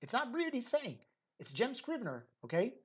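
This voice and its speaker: A woman's voice: on the high side, sing-song, moderate pace, slightly rough. A woman teacher trainer giving an unscripted explanatory lecture, stressing and repeating key points and often checking in with listeners. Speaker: teacher trainer hosting an educational episode.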